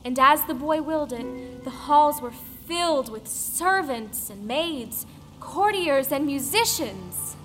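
A woman's voice: high voice, animated, takes it slowly, fairly bright tone. A woman reading scripted folktale narration for an audio drama. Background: music.

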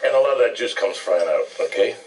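A man's voice: on the low side, animated, fast, slight background noise. A man, hosting a cooking show in a casual, chatty way, on an old TV tape. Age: 60s. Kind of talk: casual TV cooking banter and presenting. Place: TV studio kitchen. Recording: distant mic, old TV broadcast tape.

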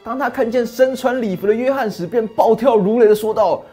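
A middle-aged man narrating a fairy tale as a channel host, with a dramatic, animated manner, on a lapel mic in a small dim study. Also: very high voice, fairly quick, husky, faint music behind. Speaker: storyteller host.

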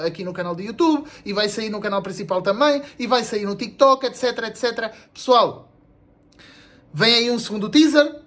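A young man with a European Portuguese accent making a casual, slangy vlog announcement to camera, blunt and animated, repeating himself for emphasis. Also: high voice. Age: young adult. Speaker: video creator and musician. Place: small room indoors at home.

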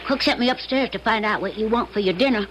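A woman's voice: on the high side, fast talker, noisy background, close to an everyday voice. An actress playing an earnest, hesitant boy of almost thirteen in a radio drama, with folksy grammar. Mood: shy, polite. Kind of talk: 1970s radio drama acting.

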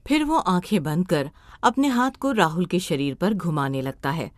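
A woman's voice: on the low side, animated, moderate pace, dry recording, clean studio sound. A woman reading scripted story narration as a storyteller.

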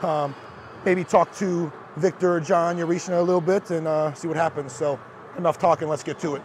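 A man's voice: a little high, fairly even intonation, normal speed. A young man hosting a casual fitness vlog, upbeat and chatty, on a lapel mic in a large indoor gym. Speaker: fitness vlogger. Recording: crowded gym noise behind.

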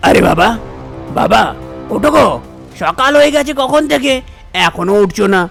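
A man's voice: extremely high pitch, animated, normal speed, creaky, fairly bright tone, faint music behind, voice pushed up, heavily acted. A man voicing an anxious, idle young village son in a cartoon story. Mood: puzzled, anxious. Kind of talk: Bengali cartoon story dialogue.

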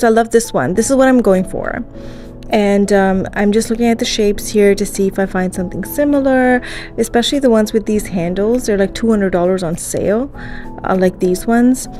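A woman's voice: medium pitch, darkish tone. Chatty woman with a sick voice. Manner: chatty, rambling with fillers. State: sick, voice strained. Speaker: vlogger. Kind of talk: casual thrift vlog. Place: parked car, daytime. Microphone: phone at arm's length.

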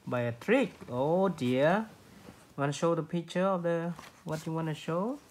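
A woman's voice: sits low, measured pace, darkish tone. A woman chatting casually with a child, giving short prompting questions and murmured acknowledgements. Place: small bedroom at home. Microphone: handheld phone camera. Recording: noisy home phone recording with clicks.

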